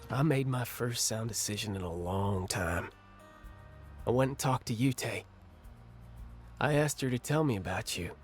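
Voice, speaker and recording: A man acting an angry, ashamed younger brother in a video game cutscene, with a halting, bitter, confessional delivery. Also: mid-range voice, animated, lightly acted. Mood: ashamed, earnest. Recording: music under the voice.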